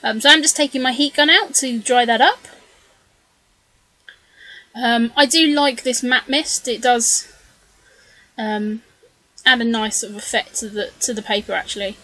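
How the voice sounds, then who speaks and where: Casting: woman, bright, slightly high voice, chatty rambling craft narration, a bit hoarse. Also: steady tone, unhurried, dry recording, low-quality recording. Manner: chatty, rambling, many ums and self-corrections. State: slightly losing her voice. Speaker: scrapbooking video creator. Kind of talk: casual voice-over narration of a craft process.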